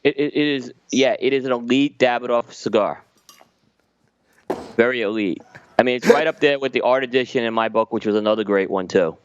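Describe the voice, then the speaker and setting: A man's voice: mid-range voice, brisk, clean tone. A man co-hosting a cigar podcast, giving detailed, explanatory tasting notes in casual panel chat. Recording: slightly dull lo-fi audio.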